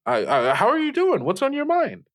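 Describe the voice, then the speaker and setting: A man plays an eager high-school radio host in an audio drama. His pitch jumps up and down widely as he stammers along, polite and awkward, quick to get flustered. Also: huge pitch swings, fairly bright tone, full character voice. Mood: flustered, delighted. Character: eager, awkward teenage boy hosting a late-night radio show. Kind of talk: improvised audio drama as radio call-in show.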